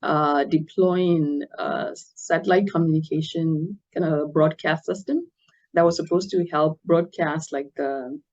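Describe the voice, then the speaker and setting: A woman's voice: sits low, steady tone, a little mellow. Middle-aged woman from nigeria, guest on a podcast, thoughtful, lots of ums, kinda muffled audio. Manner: thoughtful, measured, with many fillers. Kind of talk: interview conversation.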